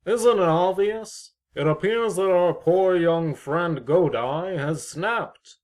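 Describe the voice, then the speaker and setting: A man's voice: high-pitched, slow pace, darkish tone. A man playing a pompous, needling, mock-polite neighbour with formal, theatrical phrasing in an amateur audio drama. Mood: smug, mock-polite.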